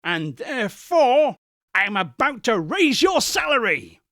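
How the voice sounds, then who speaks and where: Man acting emphatic, animated old miser turned merry. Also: extremely high pitch, takes it slowly, no echo, studio quality, pitched above the performer's own voice, exaggerated voice. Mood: mock stern. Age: older. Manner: emphatic, theatrical. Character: gruff-pretending, then exuberantly jolly old miser employer. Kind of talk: dramatic audiobook character reading.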